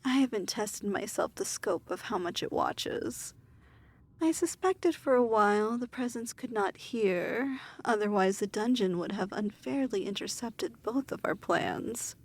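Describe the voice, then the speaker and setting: A woman playing a haughty, weary demon lord in an ASMR fantasy roleplay, speaking in a formal, measured, imperious manner. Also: a little high, darkish tone, slightly rough, heavily acted.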